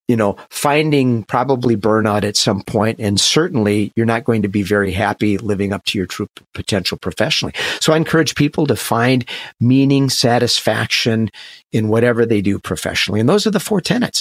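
A man guest calmly giving measured advice. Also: lowish voice, no room sound. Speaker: guest speaker.

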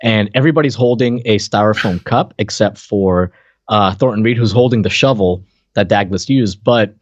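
A man's voice: lowish voice, normal speed, no echo, muffled recording. A man co-hosting a podcast, chatting casually and retelling favorite moments in a loose, conversational way, often repeating himself as he explains. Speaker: podcast co-host.

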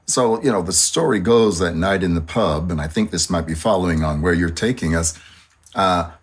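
A man's voice: sits low, normal speed, breathy edge. An older man telling stories in an interview, with light laughs and playful asides. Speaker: choreographer guest. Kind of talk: reflective interview storytelling.

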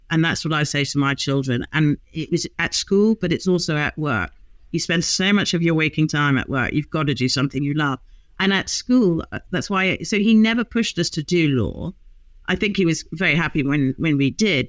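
A woman in her fifties, a guest lawyer telling humorous stories in a relaxed interview. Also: low-pitched, no echo. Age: 50s. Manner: lively, humorous storyteller. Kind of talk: casual interview chat.

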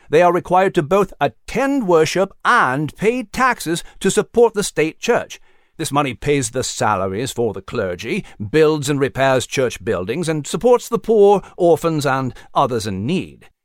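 A man's voice: on the high side, dry recording, studio quality. A man voicing an erudite male animal scholar in an audiobook story, explaining history in a precise, proper lecturing manner.

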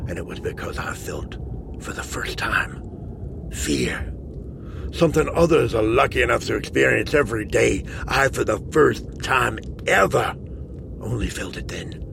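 An actor playing an obsessed, reckless monster hunter in an audio drama, intense and swinging from hushed to shouting. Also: male voice, medium pitch, very animated, measured pace, vocal fry, background noise. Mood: haunted resolve.

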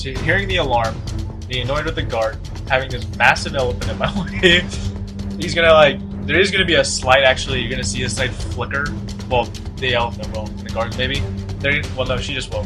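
A man's voice: mid-range voice, a little fast, over music. A man playing a tabletop role-playing game, casually thinking aloud as he describes his character's actions. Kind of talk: casual improvised role-play talk.

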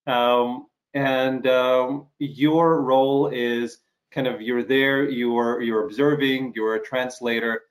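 Halting middle-aged man hosting an interview. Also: medium pitch, flat delivery, rough recording. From Ukraine. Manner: halting, frequent fillers and restarts. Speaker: interview host. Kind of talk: casual video-call interview.

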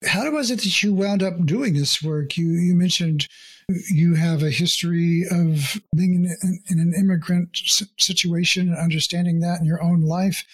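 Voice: medium pitch, moderate pace, husky. An interview host asking questions eagerly, with stumbling restarts and repeated words. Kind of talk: conversational interview.

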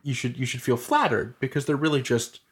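A man's voice: medium pitch, animated, brisk, background noise. Man, podcast interviewer, casual conversational delivery, very varied intonation.

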